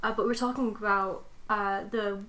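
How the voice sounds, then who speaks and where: A woman's voice: medium pitch, fairly even intonation, normal speed, a crowd in the background. A young adult woman co-hosting a podcast, chatting casually and informally. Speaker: podcast co-host. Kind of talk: casual chat.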